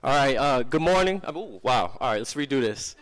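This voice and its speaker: A young man giving a live startup pitch, projecting energetically as he tells a family story. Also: lively intonation, brisk. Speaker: student startup founder pitching. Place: venture lab event room.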